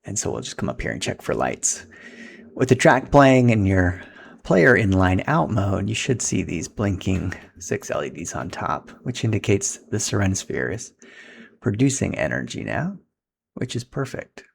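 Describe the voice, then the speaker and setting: A man's voice: lowish voice, sing-song, normal speed, creaky, slight background noise. A man giving a casual, step-by-step setup tutorial in an informal, instructional way as he handles equipment.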